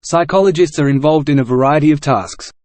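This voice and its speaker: A man's voice: on the high side, brisk, a little mellow, no room sound. A man reading an academic passage aloud from a script as a model for language practice. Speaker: read-aloud model reader.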